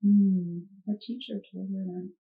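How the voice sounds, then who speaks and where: A woman reading a picture book aloud to children, expressive, pausing to ask gentle prompting questions. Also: mid-range voice, gravelly, narrow, tinny audio. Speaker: puppet show storyteller.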